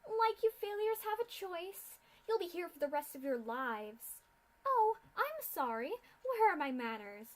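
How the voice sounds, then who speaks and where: Woman doing a cruel pony scientist, sneering, mock-polite, high and loud, storybook reading. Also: big pitch swings, a little slow, heavily acted. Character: sadistic female pony scientist, cruel, mocking. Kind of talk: fanfic reading.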